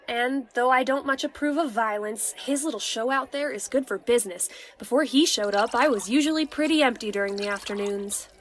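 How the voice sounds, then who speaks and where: A woman's voice: a little high, a little fast, few pauses, a little hiss or hum, natural voice. A woman playing an older tavern keeper, motherly, teasing and chatty, in a fantasy audio drama. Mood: friendly, chatty.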